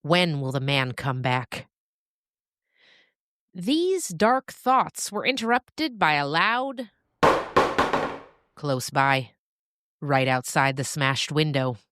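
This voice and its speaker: Woman, fiction narrator, low pitch, dry close sound, expressive storytelling, deliberate dramatic pauses. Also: no echo.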